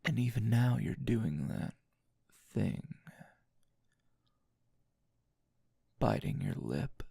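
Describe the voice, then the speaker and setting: A man's voice: on the low side, very animated, slow, creaky, dark tone, slightly rough, dry recording, studio quality, full character voice. A man playing a teasing, dominant, seasoned anime hero as a tender lover in an intimate ASMR roleplay, murmuring breathily and slipping into whispers. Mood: tender, sultry.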